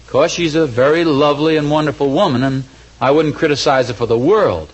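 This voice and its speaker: A man's voice: a little high, a little fast, lightly acted. An actor playing a scheming, mildly exasperated husband and father in an old-time radio comedy, chatty and mock-earnest. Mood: wry grumbling.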